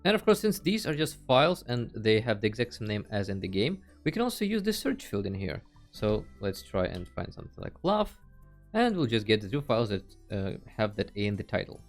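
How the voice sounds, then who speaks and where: Lively man narrating a casual tutorial. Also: on the low side, fairly quick, soft background music. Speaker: host of a video game tutorial channel.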